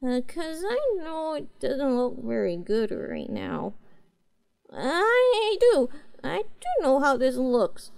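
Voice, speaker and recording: A woman's voice: sits high, sing-song, slow pace, creaky, a little mellow, full character voice. A woman voicing a cute, girlish young catgirl pet in a roleplay audio, speaking in a hesitant, earnest, apologetic way to her master. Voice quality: cutesy, girlish.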